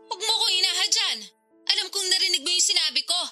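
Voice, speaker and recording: A confrontational older sister in a radio drama. She scolds and accuses in an extremely high, rapid voice. Music plays clearly behind her. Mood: angry.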